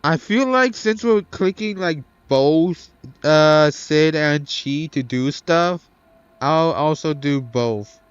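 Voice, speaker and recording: A male gamer with a smooth, fairly high voice talks casually through his play, thinking out loud in halting bursts. He is close to the mic in a dry, slightly dull recording. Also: no echo. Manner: hesitant, thinking aloud, profane. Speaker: gaming streamer. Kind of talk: casual let's play commentary.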